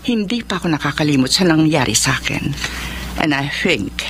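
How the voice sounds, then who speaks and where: A woman's voice: sits low, animated, normal speed, fairly bright tone, slight background noise. A woman with a Filipino accent playing a stern, vengeful middle-aged boss in a radio drama, commanding and slipping into English. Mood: bitter, scheming. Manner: commanding, code-switching into English. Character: stern, vengeful older female boss, jilted long ago. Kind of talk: radio drama acting with narration.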